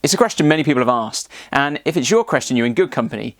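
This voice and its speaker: A man's voice: mid-range voice, lively intonation, fast, no room sound, clean studio sound. A man presenting a scripted explanatory narration.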